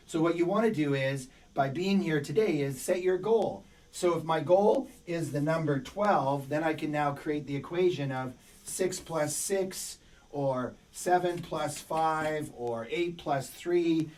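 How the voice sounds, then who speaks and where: A man's voice: medium pitch, distant mic. A middle-aged man coaching a webinar in an emphatic, repetitive motivational way, his voice worn by a cold. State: fighting a cold, voice a bit worn. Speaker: business coach. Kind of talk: webinar teaching.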